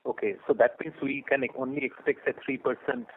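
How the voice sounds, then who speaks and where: A man with an Indian accent and a mid-pitched voice comes through a thin telephone connection. He is a caller putting questions to management, phrasing them loosely and haltingly. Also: fairly even intonation, a little hiss or hum. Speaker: caller, investor asking questions. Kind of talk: probing questions on a conference call. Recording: thin narrow telephone line.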